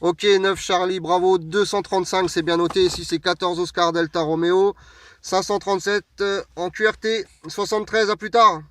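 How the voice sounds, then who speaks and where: A man's voice: high voice, fairly even intonation, normal speed, a bit bright, slight background noise. A man from France chatting casually and a little hesitantly as an amateur radio operator, speaking from a parked vehicle. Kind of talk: casual radio contact chat. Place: parked vehicle outdoors on an open plateau. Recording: distant mic, recorded away from the speaker.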